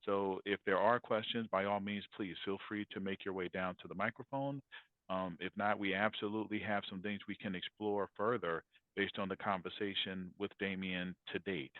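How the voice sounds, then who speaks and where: Low-voiced man, tinny phone-like sound. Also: steady tone, moderate pace, no echo. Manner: courteous, ceremonious phrasing. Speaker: professor hosting a class interview. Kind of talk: formal hosting of audience questions.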